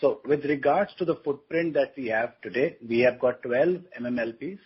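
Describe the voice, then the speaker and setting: A man speaking as a company executive, giving a business explanation on a conference call in a very rough, husky voice. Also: medium pitch, moderate pace. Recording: dull, lo-fi conference call audio.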